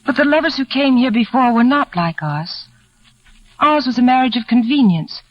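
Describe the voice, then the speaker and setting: An actress playing a shy, fearful, devoted young bride, wistful and emotional, in a 1940s radio drama. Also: female voice, high-pitched, expressive, moderate pace. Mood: afraid. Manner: wistful, hushed, emotional delivery. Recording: dull old 1940s radio recording with music.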